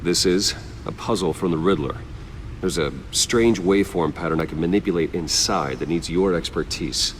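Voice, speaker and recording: A man's voice: deep voice, moderate pace, slight background noise, lightly acted. An actor playing a guarded, serious male vigilante in a video game, terse and dry. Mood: businesslike. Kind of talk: naturalistic video game acting.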